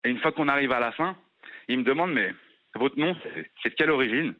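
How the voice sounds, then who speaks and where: A man pours out an impassioned, indignant testimony at a rapid clip. His voice comes through a muffled, lo-fi phone line. He sits at a middling pitch for a man. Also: expressive. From France. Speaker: caller. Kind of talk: radio call-in testimony.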